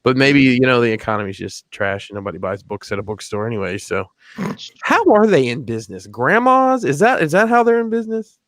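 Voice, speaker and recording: A man's voice: medium pitch, animated, brisk, a bit bright, no room sound, clean studio sound. A man co-hosting a podcast, telling rambling stories with crude jokes in casual chat. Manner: joking, rambling, crude humor. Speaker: podcast co-host.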